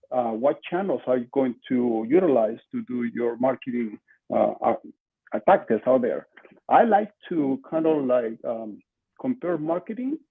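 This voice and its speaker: A man's voice: mid-range voice, breathy edge. An older man from Peru with a Peruvian Spanish accent, talking conversationally as an interview guest with emphatic repetition, over a video call. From Lima, Peru. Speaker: podcast guest, marketing entrepreneur. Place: small room at home, indoors. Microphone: video call, computer mic at desk distance. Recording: muffled, lo-fi video call audio.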